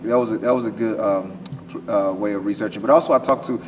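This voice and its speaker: Casting: American male actor, conversational and searching for words, picked up from a distance in noise. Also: fairly low pitch. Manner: halting, repeats phrases while thinking. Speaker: stage actor being interviewed. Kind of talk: casual interview answers. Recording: distant mic, noisy room ambience.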